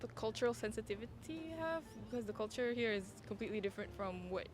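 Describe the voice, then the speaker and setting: A woman's voice: on the high side, lively intonation, fast talker, noisy background. A young woman from Malaysia, a student giving casual answers in an outdoor interview. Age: young adult. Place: outdoors on a campus. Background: music.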